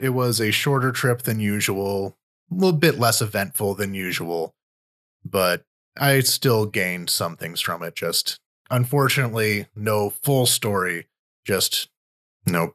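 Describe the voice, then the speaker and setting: Podcast host, male, lowish voice, relaxed commentary that restarts mid-sentence. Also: normal speed. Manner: loose, thinking aloud with frequent restarts. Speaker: podcast host and war reporter. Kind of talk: conversational news commentary.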